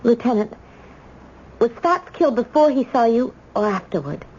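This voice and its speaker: An American actress in a radio mystery playing an earnest, insistent wife, speaking in a firm, pointed way. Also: female voice, a little high, animated, moderate pace, darkish tone, lightly acted. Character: earnest, insistent, loyal wife of accused man. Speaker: radio drama actor. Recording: dull, noisy old radio broadcast.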